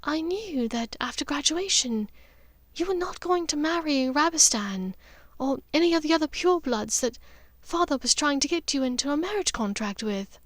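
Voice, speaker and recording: A refined middle-aged witch, acted by a woman narrator, speaks in a raised, high register. She is measured and precise yet emotional, as in a storybook, on a very dry recording. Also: expressive, a bit bright, no room sound. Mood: earnest, wistful. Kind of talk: audiobook character dialogue.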